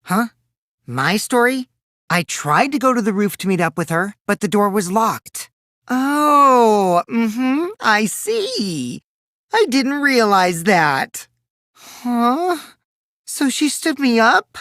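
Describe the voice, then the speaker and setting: A man's voice: very high-pitched, very animated, takes it slowly, sharp tone, no room sound, studio quality, full character voice. A man voicing a mischievous, taunting teenage boy in an English video game, with childish, singsong mockery and theatrical delivery. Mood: feigned innocence. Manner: childish, singsong mockery, theatrical swings from gleeful to coolly sly.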